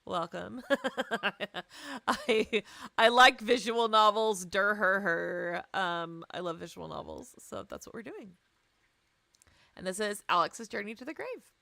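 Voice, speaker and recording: A woman livestreaming a visual novel, switching between playful, chatty asides and expressive read-aloud narration. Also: mid-range voice, slow, gravelly, dry recording. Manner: playful, chatty, self-deprecating. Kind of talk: casual stream chat mixed with expressive read-aloud narration. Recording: music playing behind the voice. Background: game music.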